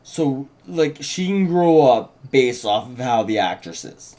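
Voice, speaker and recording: A man's voice: mid-range voice, big pitch swings, unhurried, warm tone. A man co-hosting an unscripted writers' chat, interjecting and restarting his sentences as he insists on his points. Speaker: co-host and writer introducing a script read. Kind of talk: casual unscripted discussion.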